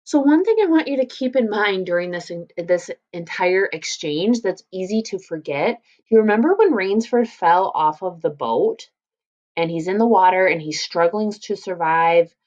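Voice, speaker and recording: A woman with a slightly low voice reads a story aloud as a teacher would. She adds informal, explanatory commentary as she goes. The recording is a little dull and lo-fi. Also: expressive, normal speed, a little mellow. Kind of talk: read-aloud lesson with casual explanatory commentary.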